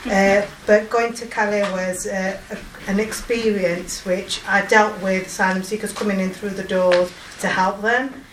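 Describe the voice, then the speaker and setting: Yorkshire woman, emotional halting testimony. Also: mid-range voice, steady tone, echoey room, distant mic, noisy background. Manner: halting, emotional, many fillers and restarts. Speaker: volunteer. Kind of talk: personal testimony.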